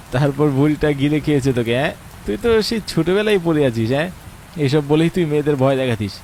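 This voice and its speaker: A man's voice: a little high, lively intonation, moderate pace, long pauses, a little mellow, slight background noise, poor audio. A man playing a reflective narrator and wary friend, telling an atmospheric scripted ghost story. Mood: bantering. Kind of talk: atmospheric scripted horror storytelling with dramatised dialogue. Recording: rain and thunder behind the voice. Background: rain, thunder.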